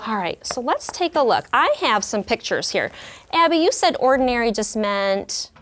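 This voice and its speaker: A woman's voice: a little high, sing-song, moderate pace, fairly bright tone, slight background noise. A woman who teaches elementary school, explaining words and lessons in simple, child-friendly terms. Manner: clear, child-friendly explanations with questions to pupils. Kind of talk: reading aloud and teaching young children, explaining her method.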